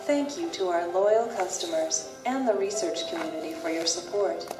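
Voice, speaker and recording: A woman's voice: fairly low pitch, lively intonation, moderate pace, recorded from a distance. A woman reading the scripted narration of a company video. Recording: video played into an echoey room, with music.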